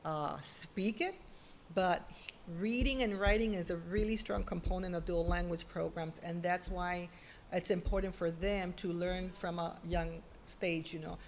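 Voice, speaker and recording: A middle-aged woman, a guest on a video-call interview, telling stories in an animated, emphatic way. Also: lowish voice, measured pace, slight background noise. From Puerto Rico. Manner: animated, emphatic storytelling with lively quoted asides. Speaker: guest, former school principal. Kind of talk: conversational interview.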